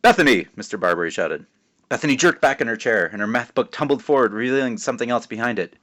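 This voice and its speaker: A man's voice: medium pitch, quick pace, fairly bright tone. A man speaking as a children's author, informally reading a storybook aloud with modest asides. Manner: self-deprecating, informal.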